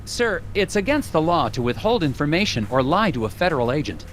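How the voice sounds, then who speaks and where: Earnest, high-voiced FBI agent. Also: male voice, big pitch swings, brisk, slight background noise. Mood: polite, probing. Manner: formal, earnest questioning. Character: earnest, polite male FBI agent, dutiful and slightly uneasy. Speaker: game character, investigator. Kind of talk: scripted video game dialogue and narration.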